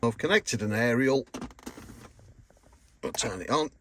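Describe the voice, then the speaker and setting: A man's voice: mid-range voice, animated, measured pace, fairly bright tone. A British man giving a casual, matter-of-fact hands-on commentary as he works in a car, with informal phrasing. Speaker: car DIY vlogger. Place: in and around a car. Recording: noticeable background noise and clicks.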